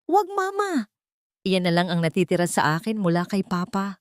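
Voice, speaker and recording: A woman's voice: mid-range voice, sing-song, normal speed, dry recording, lightly acted. Woman playing wounded young daughter, anguished, pleading, audio drama, dry recording. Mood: desperate pleading. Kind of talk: Tagalog audio drama acting.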